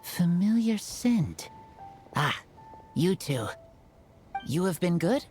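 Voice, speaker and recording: A man's voice: sits high, very animated, a little mellow, faint music behind, exaggerated voice. An actor voicing a wild young man raised by wolves, speaking in clipped, broken sentences. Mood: calm. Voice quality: clipped broken speech, slow and gruff. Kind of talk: game character dialogue.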